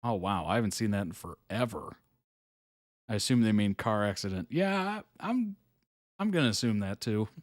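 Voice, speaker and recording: A man's voice: on the low side, dry recording. Amused man reading captions, dry sound. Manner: reads on-screen captions aloud, then reacts with amused asides; laughs often between remarks. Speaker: reaction video host. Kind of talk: casual live reaction commentary.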